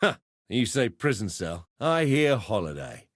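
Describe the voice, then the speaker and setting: A man's voice: fairly low pitch, very animated, unhurried, no room sound, studio quality. A man voicing a roguish arena fighter and pitmaster in a video game, with cheeky, slangy, theatrical tough-guy talk. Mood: bitter, defiant. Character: roguish adult male arena fighter and pitmaster turned syndicate member. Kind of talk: video game character voice lines.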